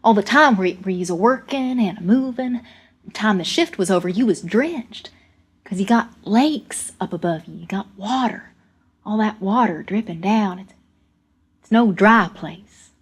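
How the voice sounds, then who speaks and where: A woman playing a plainspoken young coal miner, telling a story in halting, folksy rural speech with repeated words. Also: mid-range voice, expressive, husky. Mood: matter-of-fact, wry. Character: young coal miner recalling a deadly cave-in, plainspoken, earnest. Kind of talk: dramatic first-person storytelling monologue.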